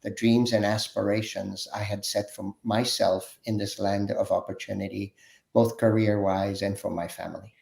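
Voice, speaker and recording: A man introducing himself informally as a panel guest, halting as he searches for words. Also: fairly low pitch, level tone, vocal fry, a little mellow. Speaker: panel guest, tech sales consultant.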